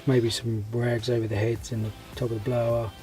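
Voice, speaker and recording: A man's voice: medium pitch, flat delivery, fairly quick, dark tone, soft background music. A man with a cold and a fading voice, hosting a hobby channel, giving a casual, hesitant update full of ums and restarts. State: congested with a cold, losing his voice. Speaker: scale model hobby channel host. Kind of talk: casual rambling vlog update.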